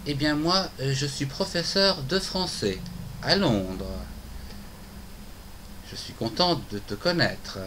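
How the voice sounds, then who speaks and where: A middle-aged man, a French teacher, speaking clear, simple phrases in a scripted lesson dialogue at home. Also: fairly high pitch, lively intonation, slow, long pauses. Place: home room with bookshelves, indoors. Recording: noisy home video.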